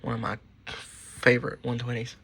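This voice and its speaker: A man with a noticeably creaky voice talks casually through a show-and-tell. He rambles, mutters asides and swears mildly. Some faint-to-clear background noise sits around him. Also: medium pitch, completely flat delivery, normal speed. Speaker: radio collector and host. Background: weather radios playing.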